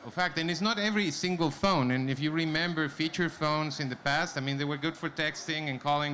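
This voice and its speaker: A man's voice: medium pitch, pushed and bright. A man giving a live conference presentation, speaking informally and directly to the audience. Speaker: conference presenter, company vice president. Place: conference stage before an audience. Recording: clear background noise, live event room.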